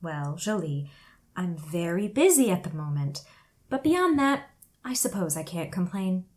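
Deadpan polite young guy, woman doing him, wry, swoopy intonation. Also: fairly low pitch, a little slow.

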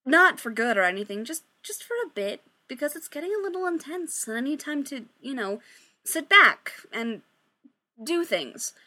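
Flustered young woman in a band, voiced by a woman, breezy and lively, high voice. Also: moderate pace, full character voice. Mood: awkward. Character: young woman musician, awkwardly fibbing.

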